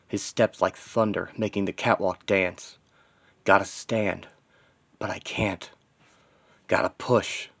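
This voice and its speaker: Vengeful superhero, played by a male narrator: low, grieving, determined, terse monologue in a comic-book story. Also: full character voice. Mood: pained, desperate. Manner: terse, dramatic inner monologue in short fragments.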